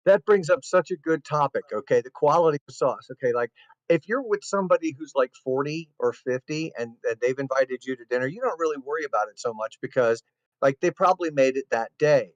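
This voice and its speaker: A man's voice: mid-range voice, expressive, brisk, no room sound. A man co-hosting a casual comedy debate, playful and joking. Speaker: co-host. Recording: dry, dull lo-fi sound.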